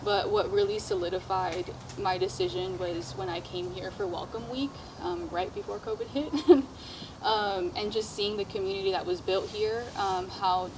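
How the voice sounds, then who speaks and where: A young woman from Texas speaking in a measured way, with many pauses on "um", as a veterinary student. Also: medium pitch. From Texas, United States. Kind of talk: casual group interview. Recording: clear background noise.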